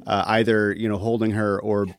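A man talking casually as a podcast guest, thoughtful and halting, with a wry streak. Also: fairly low pitch, little pitch movement, vocal fry, dry recording, studio quality. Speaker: interview guest, musician.

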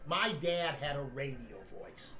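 A man in his fifties, a podcast guest who owns a coffee business, telling rambling anecdotes in a loud, carrying voice. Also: on the high side, animated, measured pace. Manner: loud voice that carries; rambling anecdotes with many restarts. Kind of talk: casual storytelling among friends. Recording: other men's chatter and laughter around him.

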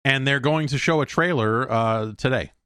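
A middle-aged man hosting a podcast, giving casual solo commentary on news in a rambling, halting way with repeated words. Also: mid-range voice, fairly bright tone, clear, resonant tone, no room sound, studio quality. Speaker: podcast host.

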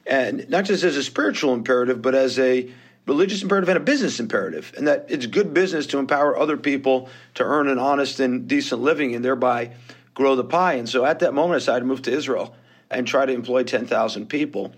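A man's voice: mid-range voice, fast talker, husky. A man in his fifties with a New York accent, telling his story as a guest on a podcast interview in a candid, self-deprecating way. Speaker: guest investor.

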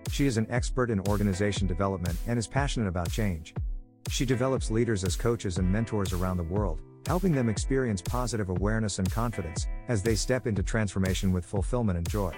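A man's voice: on the low side, fast, a little mellow. A man reading a scripted show introduction as an announcer. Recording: voice-over over guitar music. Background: guitar music.

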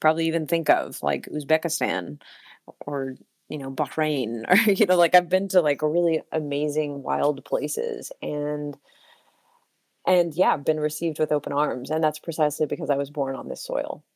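A woman's voice: deep voice, normal speed, creaky, darkish tone, no echo, studio quality. An American woman talking reflectively in a casual interview, with many fillers and laughs. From United States. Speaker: singer-songwriter guest.

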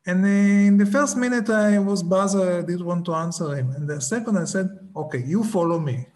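A man, a Hebrew speaker, giving a slow, reflective talk and often stopping to search for words. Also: high-pitched, darkish tone.

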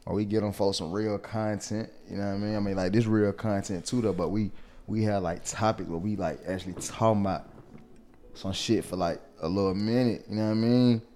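A man with a Southern US accent chatting on a podcast in a soft-spoken, slangy way. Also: on the low side, steady tone, a little fast. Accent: Southern US, Atlanta area. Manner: soft-spoken, says he has a soft voice. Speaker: podcast guest. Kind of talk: casual chat.

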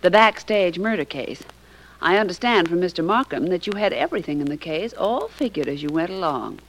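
Pert, flirty companion. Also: female voice, lowish voice, animated, brisk, a little hiss or hum, low-quality recording. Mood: teasing.

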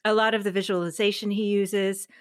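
A middle-aged woman speaks as a guest in a relaxed, informal chat. Her voice sits at an average pitch, and she tells personal anecdotes in an animated way. The sound is dry, with very little room on it. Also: brisk, clear, resonant tone, dry recording. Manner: animated storytelling, acting out quoted remarks; informal, peppered with "like". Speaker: podcast guest.

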